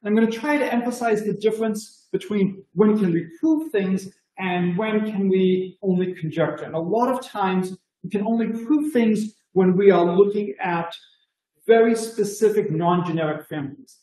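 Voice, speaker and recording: A man's voice: high-pitched, moderate pace, warm tone, reverberant, rough recording. A middle-aged man with a Boston accent giving an enthusiastic, conversational math conference talk over a video call, with many "you know" fillers. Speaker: mathematics lecturer.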